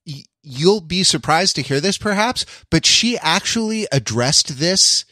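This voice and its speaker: A man's voice: fairly high pitch, lively intonation, measured pace, pushed and bright, dry recording. A man in a casual podcast discussion, talking emphatically and repeating phrases for stress. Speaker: podcast co-host.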